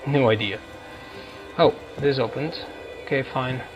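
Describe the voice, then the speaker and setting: A man's voice: mid-range voice, measured pace, muffled recording. A man giving casual, rambling commentary on his own video game playthrough, thinking aloud as he plays. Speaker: gamer narrating own playthrough. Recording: game music behind voice. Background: video game music.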